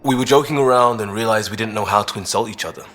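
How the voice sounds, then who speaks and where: An actor playing a good-natured young crewmate in a space video game, talking informally and earnestly. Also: male voice, on the low side, fast, a bit bright, lightly acted. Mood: explaining.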